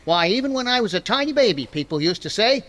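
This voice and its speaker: A man's voice: high-pitched, sing-song, quick pace, a bit bright. A man playing a boastful, stubborn, folksy middle-aged husband in 1940s radio comedy style, blustering and stammering in protest. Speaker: actor in a radio comedy recreation. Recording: faintly noisy recording.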